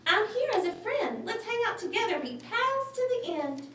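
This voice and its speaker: A woman voicing a tiny, timid, kind male mouse in a squeaky, hesitant, polite storybook voice. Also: sing-song, normal speed, fairly bright tone, heavily acted. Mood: friendly, reassuring. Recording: roomy, distant mic, faint noise.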